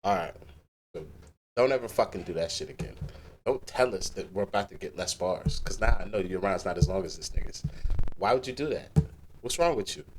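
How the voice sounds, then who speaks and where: A man's voice: on the low side, slight background noise. A man hosting a rap battle reaction, talking casually and animatedly with lots of swearing. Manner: animated, swearing, pauses clip to comment.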